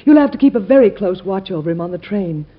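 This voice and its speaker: An actress playing a gracious, fussing president's wife and mother with a polite, gently wry manner in old radio drama. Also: mid-range voice, expressive, fast talker, lightly acted. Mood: polite, concerned. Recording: muffled old radio recording.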